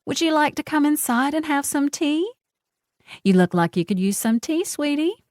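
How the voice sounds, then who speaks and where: A woman's voice: sits high, lively intonation, darkish tone, no room sound, clean studio sound, voice pushed up. A woman voicing a sweetly vicious Southern woman with a honey-coated drawl, cooing and mocking. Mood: syrupy mocking. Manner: syrupy, taunting, drawn-out words.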